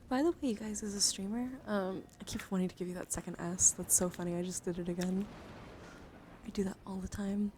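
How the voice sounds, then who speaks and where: A woman livestreaming in a casual ASMR style, chatting softly and informally to viewers and often slipping into a breathy whisper. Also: medium pitch, slight background noise. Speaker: livestreamer hosting a card deck stream.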